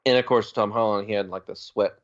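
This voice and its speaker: A man's voice: fairly low pitch, fairly even intonation, fairly quick. A man co-hosting a podcast, chatting casually and a little hesitantly as he pieces answers together, on a slightly muffled mic. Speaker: podcast co-host. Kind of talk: casual chat.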